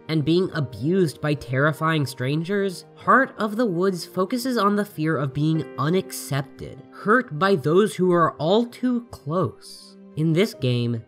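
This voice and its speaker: An articulate reviewer narrates a scripted essay in a mid-range, androgynous voice. The intonation moves around in a lively way. Soft music plays underneath the narration. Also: medium pitch. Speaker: video game reviewer. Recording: voice over background music.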